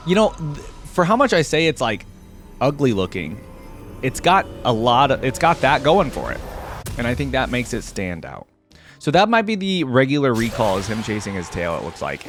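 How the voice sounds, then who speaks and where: A young man reacts casually to what he's watching, thinking out loud and breaking into exclamations and laughs. His pitch sits in a middle register but swings widely as he reacts. Faint music plays behind him. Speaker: reaction video host.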